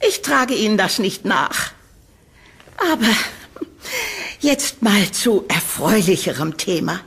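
A woman playing a condescending older landlady in an audio horror drama, sugary and dismissive, with a patronising, drawn-out delivery. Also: medium pitch, animated, fairly bright tone, slightly rough, heavily acted.

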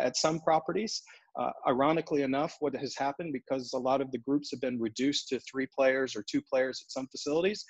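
Man, forties, golf executive, steady and businesslike, fillers and restarts, dry, dull call audio. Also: mid-range voice, breathy edge, dry recording. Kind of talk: video call interview. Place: home office in front of a brick wall. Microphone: earbuds on a video call.

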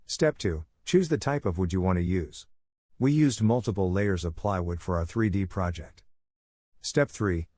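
A man narrating a scripted how-to voice-over in an even, promotional read. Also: fairly low pitch, dry recording.

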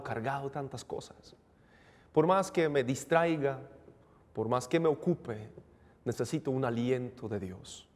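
A man in his forties delivers a homily from a chapel lectern, steady and thoughtful, with frequent small pauses. His voice sits at an ordinary middle pitch. The lectern microphone catches the long reverb of the church around him. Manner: calm, reflective preaching with frequent "eh" pauses. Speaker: priest. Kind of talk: homily at Mass.

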